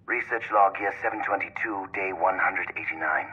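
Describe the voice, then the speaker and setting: An actor playing a grandiose older imperial scientist dictating a formal research log in a video game, through a recording filter. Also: male voice, low voice, moderate pace, pushed and bright, exaggerated voice. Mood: clinical. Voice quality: husky, raspy. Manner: formal, pompous. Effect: old recording filter. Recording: tinny, phone-like log playback.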